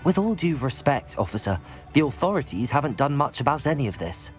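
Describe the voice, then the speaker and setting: A man's voice: mid-range voice, very animated, brisk, soft background music, close to an everyday voice. A man voicing a polite, curious young student. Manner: polite, inquisitive. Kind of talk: video game cutscene acting.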